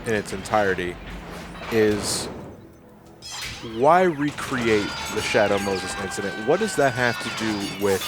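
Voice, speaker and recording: A man's voice: on the low side, lively intonation, a little slow, music in the background. A man giving casual, wry let's play commentary as a co-commentator. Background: video game cutscene noise and ambience.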